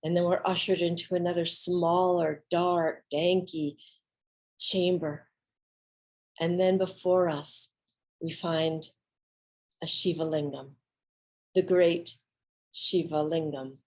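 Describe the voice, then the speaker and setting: A woman giving a dramatic reading of an autobiographical monologue, with theatrical, deliberate phrasing and pauses. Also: lowish voice, little pitch movement, a little mellow. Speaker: solo stage performer.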